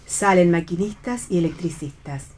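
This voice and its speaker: Slightly low-voiced woman narrator. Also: normal speed, darkish tone. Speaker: narrator reading stage directions. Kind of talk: scripted radio theatre narration.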